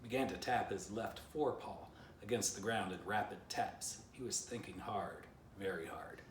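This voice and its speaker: A man with a fairly low voice reads a children's story aloud, chatting playfully to the listener. The recording is echoey and a bit distant from the mic, with faint noise. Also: moderate pace. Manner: playful direct address to the listener. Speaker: author reading aloud.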